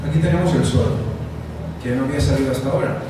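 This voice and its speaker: A man from Spain with a Spanish accent, giving a conference talk with frequent pauses and "eh" fillers. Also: mid-range voice. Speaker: conference speaker. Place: conference hall. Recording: distant mic in echoey hall, noisy.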